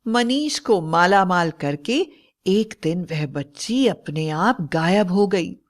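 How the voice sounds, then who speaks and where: A woman's voice: on the low side, lively intonation, slow pace. A woman narrating a scripted moral story in a storybook style.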